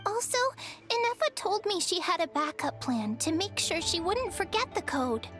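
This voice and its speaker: A very high, squeaky game fairy, small and childlike. She is excitable and curious, and her pitch leaps around freely over music. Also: normal speed. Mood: perky, curious.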